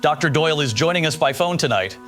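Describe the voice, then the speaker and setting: A man's voice: on the high side, animated, quick pace, a bit bright, soft background music. A man playing a concerned radio news host in an audio drama, courteous and earnest as he questions people. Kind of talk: live call-in news show in an audio drama.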